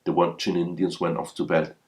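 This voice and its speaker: A man's voice: low voice, completely flat delivery, fairly quick. A man reading a folktale aloud as a scripted storyteller.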